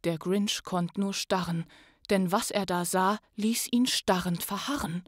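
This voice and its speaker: Woman as dramatic storybook narrator, deliberate, hushed to booming, rhymed children's tale, dry close sound. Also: dry recording, lightly acted. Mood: astonished, emphatic. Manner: theatrical, deliberate, swinging from hushed to loud. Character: storybook narrator of a Christmas tale, theatrical and suspenseful.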